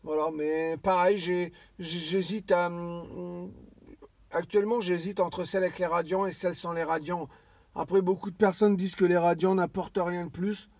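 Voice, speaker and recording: A man with a somewhat high voice talks in a dry, close sound. He rambles casually as a radio hobbyist, hesitating and circling back over his own phrases. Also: steady tone, normal speed, a little mellow, dry recording. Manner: rambling, hesitant, repeats himself. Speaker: amateur CB radio operator.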